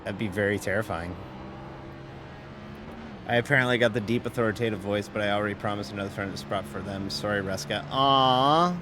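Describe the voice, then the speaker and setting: A man's voice: lowish voice, a little fast, background noise. Rambling male sim-racing streamer. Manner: rambling, filler-heavy, self-mocking, swears casually. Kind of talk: casual live-stream chat while racing.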